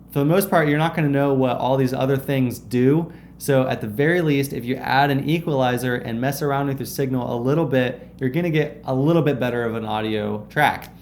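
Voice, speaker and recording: A man's voice: mid-range voice, slight background noise. A young man in his twenties giving a casual, upbeat tutorial to camera, explaining and gesturing as he goes, recorded on a close microphone in a small room. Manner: upbeat, explanatory, gestures a lot while talking. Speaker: video creator teaching audio tips. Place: small indoor room with shelves. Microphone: close shotgun mic on a stand in front.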